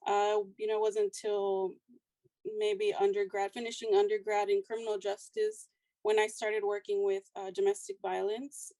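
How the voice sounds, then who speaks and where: A woman in her late twenties from South Texas, a counselor speaking as a guest in a casual interview, soft-spoken and shy. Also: medium pitch, flat delivery, normal speed, no echo, rough recording.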